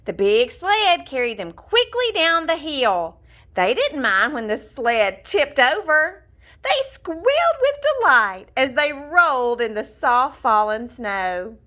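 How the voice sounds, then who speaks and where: Lady reading a kids picture book, really animated up and down, slow n expressive. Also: high-pitched. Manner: expressive, deliberate, storytelling delivery. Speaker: storybook reader. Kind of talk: scripted children's story narration, read aloud.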